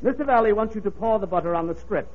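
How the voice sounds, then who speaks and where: A man's voice: sits high, fast talker. A man playing an officious, pompous business manager who speaks formally in an old radio comedy. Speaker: comic actor. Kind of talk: 1940s radio comedy acting. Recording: muffled old radio recording.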